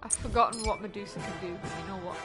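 A woman's voice: medium pitch, rapid-fire. A woman chatting casually as a player in an online game, sweary and jokey. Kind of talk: casual gaming chat. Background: game music.

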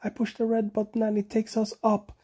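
An excitable young storybook monkey, acted out by a man, with a high-pitched, husky voice. He is curious and impulsive, exclaiming and repeating himself when alarmed. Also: a little fast. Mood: panicked.

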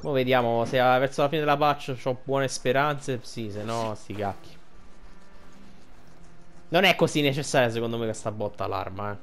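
A man's voice: medium pitch, moderate pace, fairly bright tone, slight background noise. A man giving casual, informal playthrough commentary as a gaming streamer, thinking aloud.

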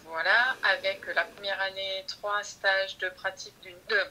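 A woman's voice: medium pitch, fairly even intonation, measured pace, pushed and bright, rough recording. A woman speaking as a podcast guest about her teacher training, thoughtful and hesitant, often restarting her sentences. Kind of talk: conversational interview.

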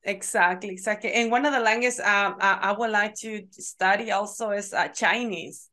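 A woman hosting a podcast interview over a video call, halting and repeating words as she agrees. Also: medium pitch, fairly even intonation, sharp tone. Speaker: podcast host.

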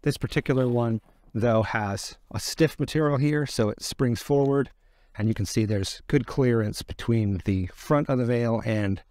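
A middle-aged man gives a measured, plain-spoken explanatory product demonstration as a presenter. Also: mid-range voice, a little mellow, dry recording, clean studio sound. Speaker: presenter of a beekeeping product guide. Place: outdoors in an open gravel yard by fields.

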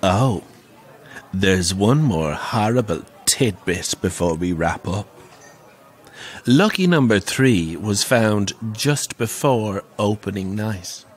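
An actor playing a camp, wry, middle-aged Irish pantomime dame who relishes telling grisly ghost stories in an audio drama. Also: male voice, lowish voice, expressive, slow pace, slightly rough, heavily acted. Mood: ominous, relishing. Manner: theatrical, wry, relishing the tale.

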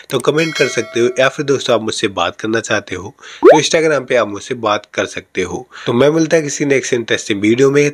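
A man narrates a tech tutorial in a mid-pitched voice. He speaks straight to his viewers as friends, instructing them one step at a time.

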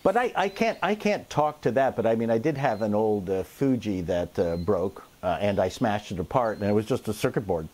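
A man's voice: fairly low pitch, animated, normal speed, few pauses, a little mellow. A middle-aged man from Canada, a guest in a casual chat, talking with dry, teasing humor on a lapel mic.

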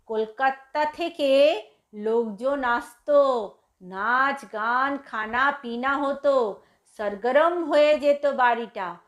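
A woman voicing a humble, stooped old male caretaker in a story, with deferential, drawn-out rustic speech. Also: high voice. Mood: wistful. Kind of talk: dramatised story reading.

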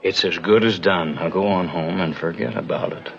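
An actor playing a stubborn young saloon keeper in an old TV western, with a plain-spoken delivery. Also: male voice, deep voice, normal speed, gravelly, very noisy. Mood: resolute. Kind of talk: 1960s TV western acting. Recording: dull old TV soundtrack, faint music.

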